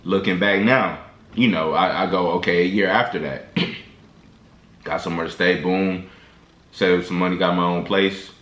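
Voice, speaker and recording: Man, low voice, slangy rambling pep talk, roomy echo. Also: fairly even intonation, measured pace, long pauses, a bit bright. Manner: slangy, loose and rambling, lots of restarts. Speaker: vlogger. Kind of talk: casual motivational talk to camera. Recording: echoey room.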